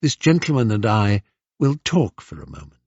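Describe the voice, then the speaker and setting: A man's voice: on the low side, very animated, moderate pace, a little mellow, husky, no room sound, muffled recording. A man voicing a gracious, weary queen in a storybook, speaking with formal, regal courtesy. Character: gracious, weary, dignified queen, a grown woman.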